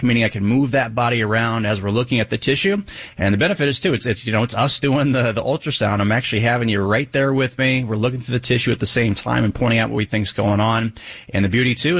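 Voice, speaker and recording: Man, doctor guest, slightly raspy, tag questions, dry mic. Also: mid-range voice, a bit bright, no room sound. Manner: fluent explainer, frequent tag questions. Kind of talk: explanatory medical talk on radio. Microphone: studio microphone.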